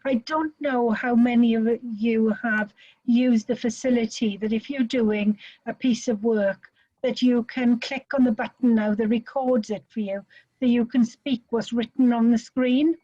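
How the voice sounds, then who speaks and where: A woman's voice: fairly high pitch, steady tone, a little slow, warm tone, dry recording. Welsh woman giving chatty tips. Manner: chatty, practical, gives tips. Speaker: webinar presenter. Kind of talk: online teaching chat. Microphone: video call. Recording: muffled video call audio.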